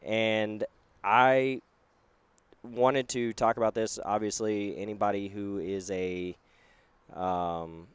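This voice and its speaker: A man with a fairly low, smooth, clear voice hosts a casual podcast interview. He rambles loosely and fills his pauses with uh and um. He is recording at a trade show. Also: level tone, dry recording. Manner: loose, rambling with many fillers. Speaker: podcast host.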